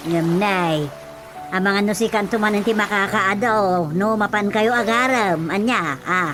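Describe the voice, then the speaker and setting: A woman's voice: medium pitch, a little slow, music in the background, lightly acted. A woman acting a skeptical, scolding housewife in an Ilocano comedy radio drama.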